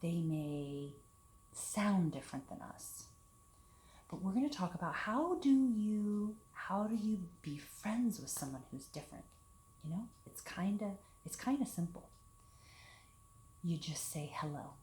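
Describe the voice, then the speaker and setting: A woman leading a children's storytime, talking and reading aloud in simple, deliberate phrases meant for young children. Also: mid-range voice, lively intonation, darkish tone. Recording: echoey room with background hiss.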